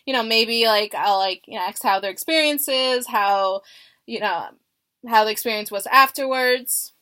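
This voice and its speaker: A young woman job applicant answering phone interview questions in a nervous, halting and hesitant manner. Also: a little high, bright, forceful tone, clear, resonant tone. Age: young adult.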